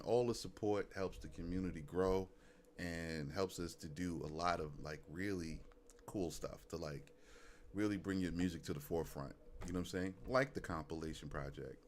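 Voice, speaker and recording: A man's voice: low-pitched, normal speed, slight background noise. A man with a Brooklyn accent hosting a live music review stream, laid-back and loose, leaning on "you know what I'm saying.". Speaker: host. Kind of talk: casual livestream chat.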